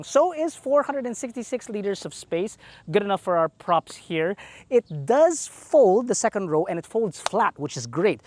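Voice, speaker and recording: A man's voice: high-pitched, a little mellow. A man with a Filipino English accent hosting a car review walkaround, chatty and playful with enthusiastic asides.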